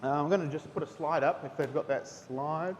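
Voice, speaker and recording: A man, a teacher speaking at a school chapel in a chatty manner, often asking "okay?". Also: brisk. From New Zealand. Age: 30s. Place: school hall. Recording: hall reverb, faint rumble.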